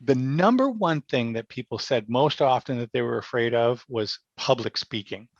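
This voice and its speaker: A man talking casually as an interview guest, explaining through anecdotes, often with halting starts. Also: mid-range voice, expressive, no room sound, muffled recording. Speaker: podcast guest, stage actor and director.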